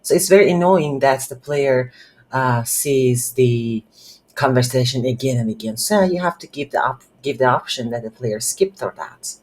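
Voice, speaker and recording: A woman hosting a casual game development tutorial, speaking haltingly as she thinks aloud while working. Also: rumbling low, expressive, a little mellow. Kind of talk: casual screen-recorded software tutorial.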